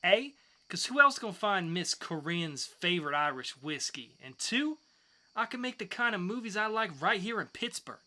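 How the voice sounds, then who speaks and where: A friendly guy at a comic-book bar, acted out by a man. His voice is fairly high and bright, and he talks in a familiar, colloquial way. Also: sing-song, normal speed, heavily acted. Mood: playful. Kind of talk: comic book dramatic reading.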